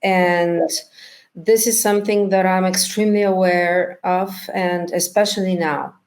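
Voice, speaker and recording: A middle-aged woman whose first language is Bulgarian, a documentary director answering interview questions slowly and thoughtfully, often pausing to search for words. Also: fairly low pitch, steady tone, a little mellow.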